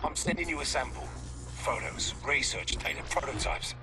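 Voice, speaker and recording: A man's voice: fairly high pitch, expressive, moderate pace, music in the background, lightly acted. A man playing a ruthless mercenary. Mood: cold. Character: ruthless male scheming mercenary trader, British. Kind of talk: video game cutscene acting.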